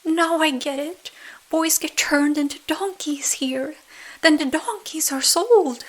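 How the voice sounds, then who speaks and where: A woman's voice: high voice, slow, pitched above the performer's own voice. A woman voicing a small, anxious, protective cricket in a bedtime story, exclaiming urgently. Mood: alarmed. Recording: rain falling behind the voice.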